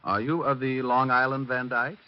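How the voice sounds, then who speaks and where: An actor playing a wisecracking, flirtatious private detective with an American accent and breezy, sarcastic delivery in golden-age radio style. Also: male voice, mid-range voice, fairly even intonation, normal speed, close to an everyday voice. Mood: glib, flirtatious. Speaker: lead actor and narrator of detective radio drama. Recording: muffled old radio recording.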